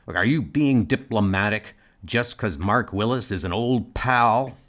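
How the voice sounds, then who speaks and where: A man voicing a gruff, blunt, exasperated starship doctor in an audio comic dub. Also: fairly low pitch, measured pace, a bit bright. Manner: blunt, argumentative, sardonic.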